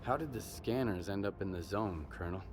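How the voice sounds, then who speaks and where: Man acting low-voiced, plain-spoken stalker. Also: brisk, in a large hall, over music, lightly acted. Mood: determined. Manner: direct, informal. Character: plain-spoken, determined male stalker, a hardened drifter. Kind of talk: video game voice acting.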